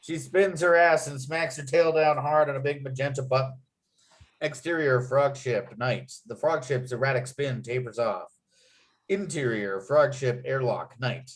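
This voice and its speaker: A male narrator with a medium-pitched voice reads a script aloud. He delivers scene headings and action in an expressive storytelling manner. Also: unhurried.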